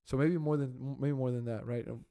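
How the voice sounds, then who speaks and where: Mellow-voiced bilingual man chatting. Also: medium pitch, steady tone, normal speed, gravelly. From Austin, Texas. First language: Spanish. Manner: mixes Spanish and English constantly, says "you know what I'm saying". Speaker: podcast guest. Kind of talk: casual chat.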